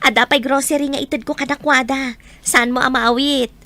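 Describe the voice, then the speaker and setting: Bright, high-voiced Ilocano mother played by an actress. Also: fairly even intonation, moderate pace. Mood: generous, cheerful. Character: hardworking, generous mother, once abandoned by her husband. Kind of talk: Ilocano audio drama acting.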